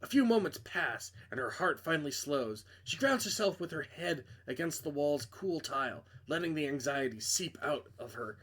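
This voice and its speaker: A man's voice: fairly high pitch, lively intonation, moderate pace, few pauses, a bit bright. A man reading a visual novel aloud as dramatic narration, with casual asides when he stumbles and corrects himself.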